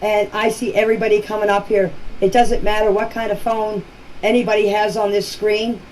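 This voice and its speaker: A woman leaving informal voice messages for a group chat, explaining things in a halting, repetitive way. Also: mid-range voice, flat delivery, darkish tone. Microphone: phone. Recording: noisy phone voice clips.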